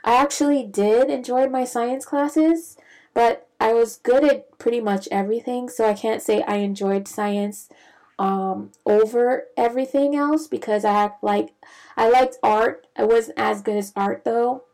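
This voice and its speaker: A young woman from the Bahamas, a physician, telling her career story in a reflective, measured way with frequent fillers. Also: on the high side, steady tone, dark tone. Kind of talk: casual personal storytelling.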